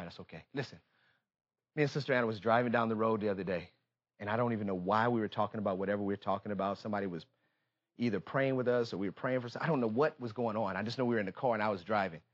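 An American man preaching a fervent sermon in an emphatic, repetitive call-and-response manner, moving between confiding talk and impassioned shouting. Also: lowish voice, lo-fi sound.

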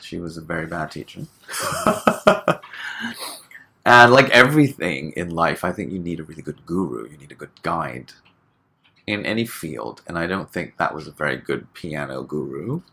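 A man from Hong Kong, a guest chatting casually in an interview, relaxed and full of fillers, laughing often. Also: rumbling low, moderate pace, creaky, slightly rough.

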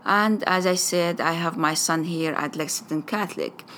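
A woman with an Iraqi Arabic accent, her native tongue Arabic, telling her story in slow, careful English. Her voice sits a little low, and she pauses often as she picks her words. A faint hiss runs under the recording. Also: a bit bright. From Iraq. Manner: careful, deliberate phrasing with frequent hesitations. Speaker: parent sharing her background. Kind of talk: personal testimony to a school audience.

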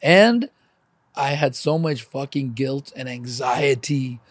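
A man's voice: mid-range voice, slow pace, dry recording. A middle-aged man in casual, confessional conversation, speaking candidly and emphatically, swearing and peppering his talk with "man" and "dude.". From born in Ukraine, emigrated at age four.

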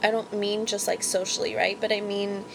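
A woman's voice: little pitch movement, normal speed. A woman born in Pakistan, co-hosting a podcast and talking candidly and casually, often with a laugh. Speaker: podcast co-host. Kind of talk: casual conversation. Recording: noisy amateur recording with rumble.

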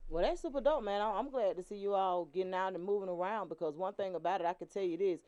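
A man's voice: high voice, quick pace, a little mellow, clean tone, highs cut off. A man from the hosting a casual interview, laid-back and slangy, laughing often. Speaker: radio host.

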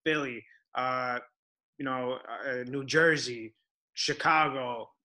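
A man hosts a relaxed interview, chatting easily and filling gaps with "you know". His voice is bright and forward. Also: mid-range voice, takes it slowly. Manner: conversational, leaning on "you know". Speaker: interview host. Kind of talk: casual interview chat.

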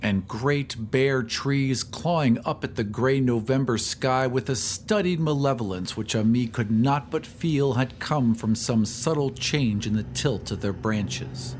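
A man narrates a horror story with measured, grave delivery at a medium pitch. His reading is literary and dramatic, a scripted storyteller's voice, with faint ambient noise behind it. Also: darkish tone. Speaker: narrator of an audio fiction podcast.

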